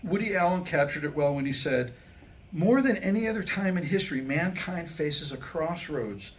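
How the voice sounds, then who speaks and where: A man with a slightly high voice delivers a scripted comic monologue at a staged reading. He is wry and mock-scholarly, phrasing his lines deliberately. The live recording carries a faint layer of noise. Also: animated. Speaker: writer presenting his new humorous script. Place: live staged reading before a small audience. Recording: clearly noisy live room recording.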